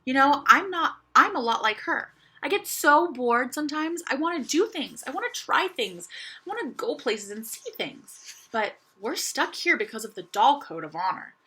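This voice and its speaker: A woman's voice: sits high, big pitch swings, moderate pace, pushed and bright, pitched above the performer's own voice, full character voice. A woman voicing a young girl doll in a children's story, eager, earnest and confiding, restless for adventure. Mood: restless, longing. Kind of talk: children's audiobook character voice.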